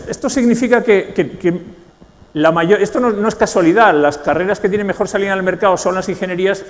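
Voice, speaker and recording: A man's voice: sits high, long reverb tail. A man from the Basque Country with a Spanish accent, giving a joking, ironic keynote talk to a business audience. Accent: Spanish, from Spain. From Spain, Basque Country. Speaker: conference speaker. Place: large event hall.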